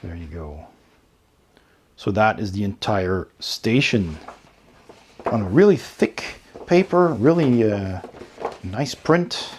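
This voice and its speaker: A man giving casual unboxing commentary as host of a tabletop game channel, in an unhurried show-and-tell way with pauses. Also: fairly low pitch, expressive, a little mellow, slight background noise.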